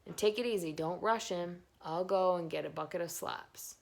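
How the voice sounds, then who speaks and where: A practical storybook farmer, voiced by a woman reader. He gives firm, steady instructions like someone used to being in charge. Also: fairly low pitch, moderate pace, gravelly. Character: practical, steady male farmer giving orders.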